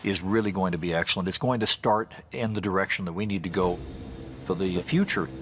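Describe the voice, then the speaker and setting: A man's voice: deep voice, a little fast, background noise. An older man speaking as an interviewed expert, explaining things in an unscripted way. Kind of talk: interview commentary.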